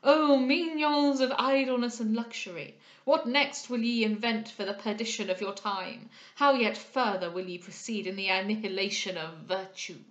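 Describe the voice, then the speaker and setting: A woman voicing an old male moralist who denounces luxury in loud, theatrical declamation in an audiobook. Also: medium pitch, normal speed, few pauses, roomy sound, lo-fi sound, lightly acted. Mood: indignant, declaiming. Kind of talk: dramatised audiobook character voice.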